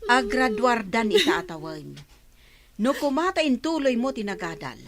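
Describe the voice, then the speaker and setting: Scolding village mom, played by a woman: Ilocano accent, emphatic, high and very animated, comic radio drama. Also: normal speed. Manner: nagging, emphatic. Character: scolding, exasperated village mother of a lazy daughter.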